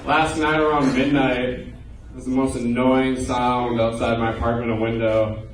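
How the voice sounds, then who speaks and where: A man's voice: mid-range voice, little pitch movement, normal speed. A man in his twenties performing a stand-up set in a loose, conversational way, with self-deprecating punchlines. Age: 20s. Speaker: stand-up comedian. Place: comedy club stage before a live audience. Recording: echoey live room, distant mic, audience noise.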